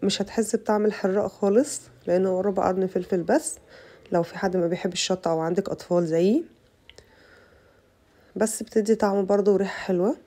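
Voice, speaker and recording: A young Egyptian woman with an Egyptian Arabic accent, her voice rough from a bad cold, chatting to her viewers through a casual cooking tutorial. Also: mid-range voice, steady tone, quick pace, pauses often, warm tone. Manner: chatty, addresses viewers as girls. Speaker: cooking channel host.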